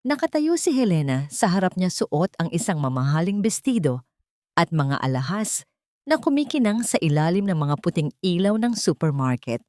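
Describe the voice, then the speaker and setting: Low-pitched woman narrating a dramatic story. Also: a little mellow, no room sound, studio quality.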